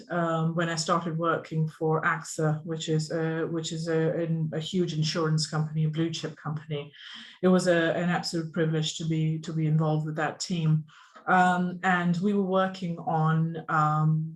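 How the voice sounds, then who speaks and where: A speaker from Pakistan gives an online talk about software teams over a video call, in an earnest, halting way with frequent ums and restarts. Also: mid-range voice, rough recording. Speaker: software engineer giving a conference talk. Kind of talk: online presentation.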